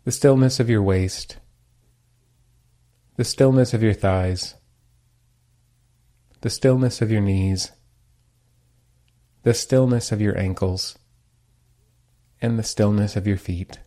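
Mellow low-voiced man guiding meditation. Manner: calm, gentle, unhurried instruction. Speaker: meditation teacher. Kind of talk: guided meditation narration.

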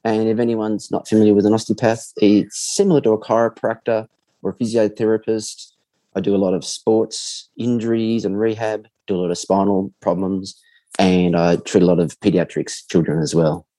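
A man in his forties, a podcast guest talking about himself in a relaxed, reflective way with frequent pauses. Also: lowish voice, no room sound. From Australia. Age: 40s. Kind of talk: casual interview chat.

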